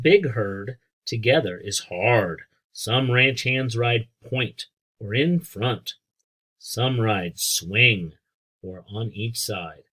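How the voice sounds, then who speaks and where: An American man with a husky voice reading aloud as a teacher to children, explaining things simply and speaking directly to young listeners. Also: fairly low pitch, sharp tone, poor audio. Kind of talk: read-aloud lesson with asides and questions.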